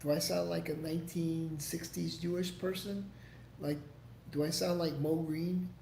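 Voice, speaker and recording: A man's voice: on the high side, little pitch movement, measured pace, vocal fry, dark tone. A man hosting a casual livestream, answering viewer comments in a rambling, profane way with lots of restarts. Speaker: livestream host. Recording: echoey room with faint background noise.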